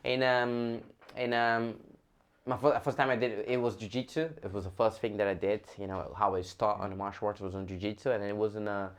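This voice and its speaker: A young Brazilian man with a Brazilian Portuguese accent, a fighter telling his story in a casual interview, speaking haltingly with many restarts. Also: fairly low pitch, level tone, smooth.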